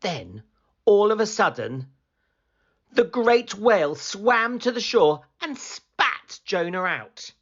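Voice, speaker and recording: Storybook reader, male, slow, animated read-aloud delivery, muffled lo-fi recording. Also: high-pitched. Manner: slow, expressive storytelling, rising for excitement. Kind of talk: children's picture book read-aloud.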